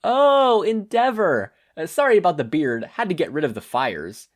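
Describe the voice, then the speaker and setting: A man's voice: a little high, sing-song, moderate pace, pitched above the performer's own voice. A man voicing a brash, upbeat adult superheroine with a breezy, quippy manner in a fan fiction reading. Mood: cheerful, cocky.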